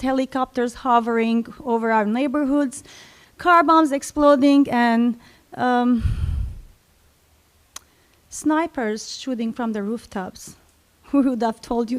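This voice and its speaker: Slow, reflective woman storyteller. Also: fairly high pitch. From Syria. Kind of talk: personal storytelling to an audience. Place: library event stage.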